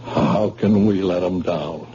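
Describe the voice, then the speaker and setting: An actor playing a frightened working-class plumber lying in a hospital bed, talking plainly, on a dull old radio recording. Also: male voice, deep voice, slow pace. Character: frightened male plumber, shooting witness.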